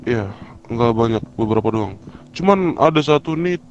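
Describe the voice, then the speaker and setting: A man's voice: medium pitch, lively intonation, moderate pace. A man talking informally and hesitantly in character during an online gangster roleplay. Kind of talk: casual in-character roleplay talk. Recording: muffled lo-fi voice chat, music behind. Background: music.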